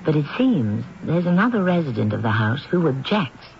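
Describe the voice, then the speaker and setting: Radio mystery host, measured man, dull old broadcast sound. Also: on the high side, very animated, creaky, slight background noise. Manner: measured, ominous. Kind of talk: scripted narration.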